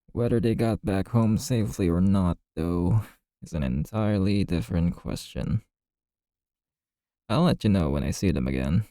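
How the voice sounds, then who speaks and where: A man playing a chatty, easygoing bartender in an ASMR roleplay, telling gossipy stories in a casual, friendly, unhurried way. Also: low-pitched, mellow, no room sound, clean studio sound. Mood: relaxed, friendly.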